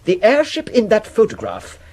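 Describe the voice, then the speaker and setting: Pompous English gentleman, husky, animated. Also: high-pitched, normal speed, full character voice. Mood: excited, proud. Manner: formal, declamatory. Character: pompous, insistent English gentleman running a secret project. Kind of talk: 1970s radio drama acting.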